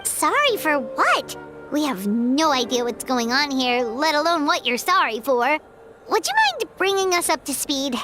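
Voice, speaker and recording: A squeaky chirpy fairy companion. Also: female voice, unhurried, over music. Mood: puzzled. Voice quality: high, squeaky childlike voice. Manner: excitable, chatty, speaks of herself in the third person. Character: tiny floating fairy companion, chirpy and curious. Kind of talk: video game voice acting.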